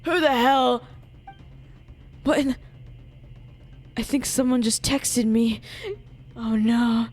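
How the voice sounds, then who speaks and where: A woman's voice: a little high, steady tone, takes it slowly, pauses often. A woman streamer doing jokey live game commentary and reading dialogue aloud theatrically. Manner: jokey, playful, theatrical, swears casually. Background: game music.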